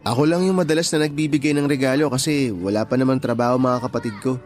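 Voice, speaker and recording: A man playing an earnest, friendly young coworker in gentle, conversational radio drama dialogue. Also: medium pitch, fairly even intonation, quick pace, soft background music, close to an everyday voice. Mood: chatty.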